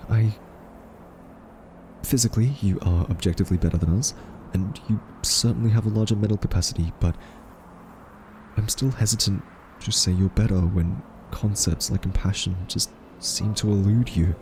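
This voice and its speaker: A man playing an earnest, quirky scientist in a roleplay audio drama, soft-spoken, hesitant and argumentative as a thoughtful captive. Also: fairly low pitch, normal speed, slight background noise, close to an everyday voice. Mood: skeptical, reproachful.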